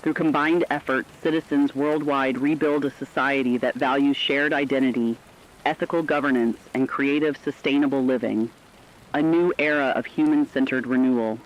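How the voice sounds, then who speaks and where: A man's voice: mid-range voice, steady tone, moderate pace, lo-fi sound, lightly acted. A man playing a hopeful radio co-anchor, delivering scripted news reports in a formal, earnest manner. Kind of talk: scripted news broadcast narration.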